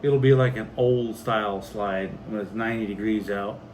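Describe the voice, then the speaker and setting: A man's voice: lowish voice, fairly even intonation, normal speed, a little mellow, a little hiss or hum. A man with an American accent chatting casually on a homestead vlog in a plainspoken, folksy way. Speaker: homesteading video host.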